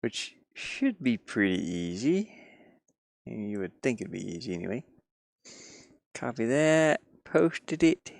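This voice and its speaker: A man with a fry-laden voice talks at an unhurried pace on a live stream. He rambles casually and mutters to himself while he works. The sound is close and dry, as from a streaming mic. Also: medium pitch, very animated, dry recording.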